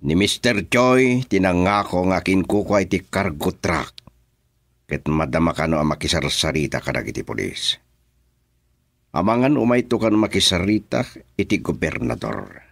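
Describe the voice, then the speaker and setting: An actor playing a gruff, guarded businessman boss who deals in shady trade, in a radio drama. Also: deep voice, expressive, moderate pace, dry recording, full character voice. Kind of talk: Ilocano radio drama acting.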